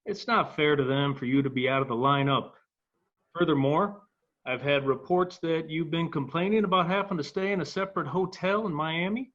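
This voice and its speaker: An actor plays a stern male baseball boss, scolding and emphatic. His voice sits high for a man, and the recording is slightly dull. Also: normal speed, fairly bright tone, close to an everyday voice. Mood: stern, irritated. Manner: reproachful, emphatic. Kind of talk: dramatic table read.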